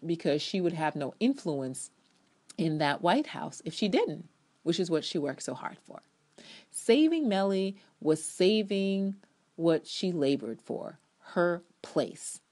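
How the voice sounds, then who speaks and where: Low-pitched woman reading her review dramatically. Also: a little mellow. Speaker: TV episode reviewer. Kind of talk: dramatic reading of a written review with ad-libbed asides.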